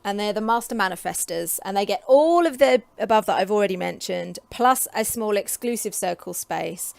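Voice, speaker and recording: Chatty hoarse woman in her forties. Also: mid-range voice, animated, moderate pace, a little mellow, no room sound. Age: 40s. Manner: chatty, enthusiastic, with frequent ums. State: voice getting hoarse. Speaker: creative coach and vlogger. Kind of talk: casual talk to camera.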